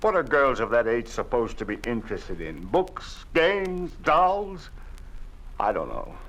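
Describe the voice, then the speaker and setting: An actor playing a weary middle-aged father in a 1950s radio drama, sometimes shouting sternly. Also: medium pitch, big pitch swings, measured pace, low-quality recording.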